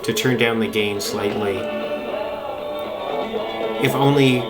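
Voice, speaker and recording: Male hobbyist narrator, lowish pitch, casual and tentative off-the-cuff commentary, prominent radio music underneath. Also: slow pace. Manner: musing, tentative, thinking aloud. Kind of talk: casual, unscripted live commentary. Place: close to a playing shortwave radio. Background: shortwave radio broadcasts with music.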